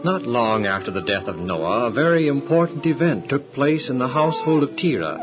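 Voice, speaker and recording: Solemn, animated male Bible drama narrator. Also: mid-range voice, moderate pace. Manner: formal, storytelling. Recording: dull lo-fi recording with music. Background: dramatic music.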